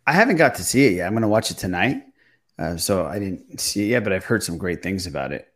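Man, voice a bit low, casual podcast co-host, repeats himself agreeing. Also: very animated, fast, fairly bright tone. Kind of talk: casual fan chat.

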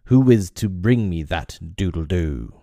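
A man's voice: sits low, very animated, takes it slowly, creaky, a little mellow, dry recording, studio quality, full character voice. A man voicing a villainous pirate captain in a storybook, theatrical and drawn out, with purring, mock-polite menace. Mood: angry.

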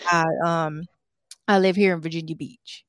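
A woman's voice: low-pitched, steady tone, moderate pace, slight background noise. A woman in her forties from Tennessee hosting an interview, talking in a loose, rambling way full of fillers. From Tennessee, USA. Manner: rambling, uses fillers like "you know" and "like". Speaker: interview host. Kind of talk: casual interview chat.

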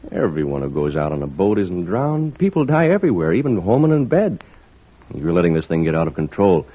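An actor playing a plainspoken, stubborn, warm-hearted lobsterman in an old-time radio drama, earnest and folksy. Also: low-pitched, very animated, moderate pace, breathy edge, full character voice. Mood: frustrated, determined. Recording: slightly muffled vintage radio recording.

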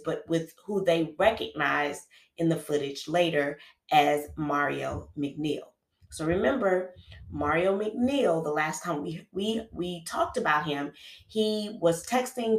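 A woman podcast host with a cold, recounting a true-crime case in a casual, conversational way, full of fillers and restarts. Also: low voice. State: has a cold, sniffling. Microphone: podcast microphone. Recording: roomy echo.

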